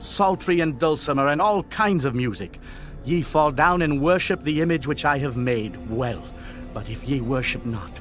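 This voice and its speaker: Male actor for imperious ancient monarch, scripture audio drama. Stately, declamatory, higher-set male voice, strong music bed. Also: lightly acted. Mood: stern, threatening. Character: proud, commanding ancient Babylonian king. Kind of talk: dramatized scripture reading, archaic English.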